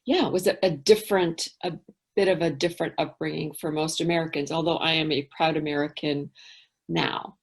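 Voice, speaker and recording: Lowish-voiced woman telling her story. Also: steady tone, fairly quick, gravelly. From England. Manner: thoughtful, candid, trails off into quiet asides. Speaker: guest. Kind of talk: reflective interview talk.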